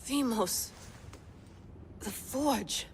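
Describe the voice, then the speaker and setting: A woman's voice: on the high side, very slow. An actress playing an intense, sardonic woman researcher who pushes on with her work despite warnings. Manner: driven, intense, wry. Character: driven, wry woman scientist obsessed with an artifact. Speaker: researcher and cell leader. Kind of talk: game cutscene acting. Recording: long-reverb room with faint noise.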